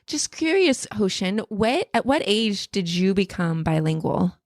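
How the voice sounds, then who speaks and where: A woman's voice: mid-range voice, very animated, unhurried, no room sound, studio quality. A woman from the United States in her thirties, co-hosting a casual podcast with bubbly, giggly, playful teasing. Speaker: podcast co-host.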